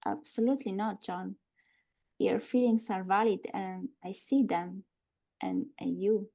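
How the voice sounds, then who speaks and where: Woman playing a slow, smug government agent. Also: mid-range voice, fairly even intonation, gravelly, dark tone. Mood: breezy, mock-soothing. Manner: deliberate, condescending, mock-soothing. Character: smug, cajoling young government agent posing as nurse. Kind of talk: screenplay table read.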